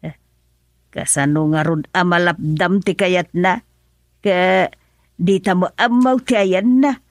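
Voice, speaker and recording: A woman's voice: fairly low pitch, steady tone, slow, no room sound, studio quality. A woman with an Ilocano accent playing a worried middle-aged mother in a radio drama, pleading and anxious. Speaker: mother character.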